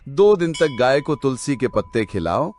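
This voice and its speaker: A man's voice: medium pitch, sing-song, unhurried, darkish tone, soft background music. Man as a sly fake guru, very swoopy delivery. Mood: amused, instructing. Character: sly fake village guru dispensing silly remedies. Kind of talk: cartoon story dialogue.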